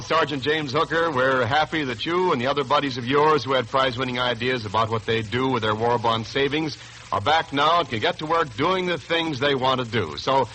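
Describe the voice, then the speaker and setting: American man, radio announcer, forceful bright tone, formal polished script reading, 1940s broadcast, faint hiss. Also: mid-range voice, poor audio. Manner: emphatic, formal, polished delivery. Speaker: radio announcer and sponsor spokesman.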